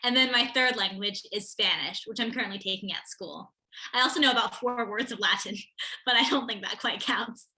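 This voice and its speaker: An eager teenage girl answers interview questions in a very bright, clear voice. She speaks articulately and keeps a lively, enthusiastic tone, laughing now and then. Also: medium pitch, fairly quick, poor audio. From America, Connecticut. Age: teens. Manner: eager, articulate, laughs while telling stories. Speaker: interview guest, student.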